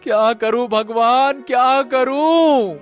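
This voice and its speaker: A man's voice: very high-pitched, takes it slowly, soft background music. A man playing a distressed poor villager husband in a cartoon moral story, scolding and lamenting dramatically. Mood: anguished, fearful.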